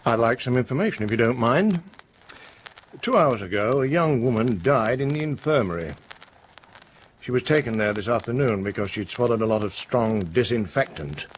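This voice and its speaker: An actor playing a grave, unshakeable police inspector in a radio play, measured, polite and insistent. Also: male voice, medium pitch, expressive, a little hiss or hum, close to an everyday voice, poor audio.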